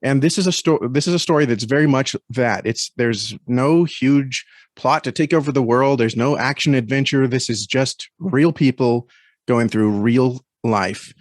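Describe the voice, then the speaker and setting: A man's voice: mid-range voice, normal speed, no room sound, studio quality. A man talking casually as an interview guest, thoughtful and often searching for words. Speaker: podcast guest.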